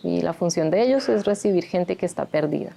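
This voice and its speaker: A woman's voice: on the low side, lively intonation, a little fast, creaky, dark tone, slight background noise. A woman in her thirties who grew up in Colombia, telling her life story candidly and reflectively in a documentary interview. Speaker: interviewee, migrant shelter founder.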